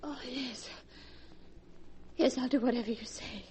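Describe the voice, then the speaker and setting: Woman acting high-voiced melodramatic female accomplice. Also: fairly even intonation, measured pace, heavy background noise, close to an everyday voice. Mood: pained, frightened. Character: emotional, frightened young female accomplice of a schemer. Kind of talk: 1940s radio horror drama acting.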